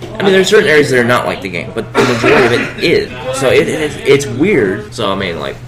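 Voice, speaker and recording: A man's voice: mid-range voice, sing-song, fast talker, over loud music, low-quality recording. A man co-hosting a casual chat show, talking loosely with many fillers and restarts. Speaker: podcast co-host.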